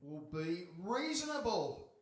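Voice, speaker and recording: Man playing a high-voiced, hapless henpecked fisherman. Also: very animated, slow, full character voice. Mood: flustered, whiny. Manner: hesitant, flustered, whiny. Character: meek, hapless, henpecked fisherman husband, grumbling. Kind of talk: live radio theatre comedy acting. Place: on stage in a hall before an audience. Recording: echoey live hall.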